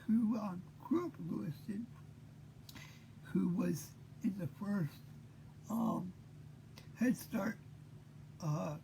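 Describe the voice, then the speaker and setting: Tired, gravelly fifty-year-old man. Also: sits high, mellow, a little hiss or hum, poor audio. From Maine. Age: 50s. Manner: halting, full of pauses and fillers. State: tired, short of breath. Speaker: host. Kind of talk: unscripted monologue.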